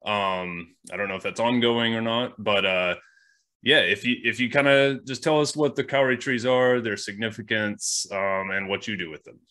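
A man's voice: lowish voice, moderate pace, bright, forceful tone. A middle-aged man hosting an interview over a video call from an indoor workshop, upbeat and casual with many uhs. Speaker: podcast host. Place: indoor workshop with wood stacks.

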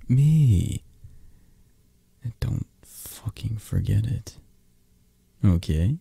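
Man acting a dark, husky mafia boss lover. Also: no room sound, heavily acted. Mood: possessive, teasing. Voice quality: breathy, husky, low.

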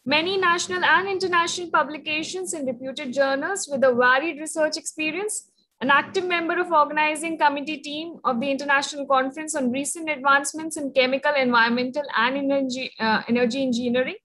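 High-voiced woman reading formal introductions. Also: steady tone, fast, sharp tone, rough recording. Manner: reads a long list of credentials steadily. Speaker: webinar moderator. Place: college office, indoors. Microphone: video call webcam.

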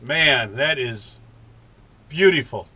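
An American man in his fifties talking in a folksy, unhurried way in a casual vlog from a truck cab. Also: medium pitch, expressive, bright, forceful tone, slightly rough. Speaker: vlogger. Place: inside a parked truck cab.

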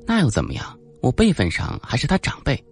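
Brash young female reporter, chatty and bluffing, raised animated pitch male narrator acting, audiobook. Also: a little fast, vocal fry, soft background music. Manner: pushy, glib.

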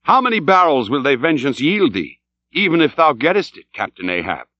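A man's voice: a little high, very animated, fairly quick, no room sound, highs cut off. A man voicing a sober, sceptical first mate of a whaling ship in an audiobook, earnest, questioning and restrained. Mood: sober, doubting.

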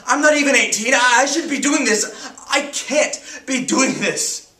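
Young man acting a high-voiced, grief-stricken teenager, echoey. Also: lively intonation. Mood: angry, disbelieving. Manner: emotional, halting, swinging from whispers to shouts. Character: grief-stricken teenager who lost the whole family. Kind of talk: dramatic audition monologue. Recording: echoey room, distant microphone.

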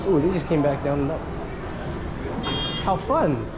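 A man's voice: on the high side, lively intonation, unhurried, very noisy. A man giving a casual walking tour of a casino, pointing things out as he goes, with a camera worn on his chest. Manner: chatty, points things out as he walks. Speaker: vlogger. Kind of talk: casual walkthrough commentary. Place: indoor casino floor. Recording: loud casino music behind.

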